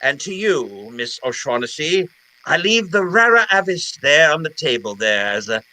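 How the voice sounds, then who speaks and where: A man's voice: a little high, very animated, moderate pace, bright, forceful tone, exaggerated voice, poor audio. A man playing a suave, jovial older villain in an old-time radio drama, with a pompous, florid, theatrical delivery. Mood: jovial, grandiose.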